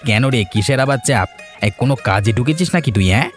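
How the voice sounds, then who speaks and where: A man's voice: mid-range voice, animated, fast talker, soft background music, lightly acted. A man voicing a friendly, eager village man in a cartoon, chatty and helpful. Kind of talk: cartoon voice acting.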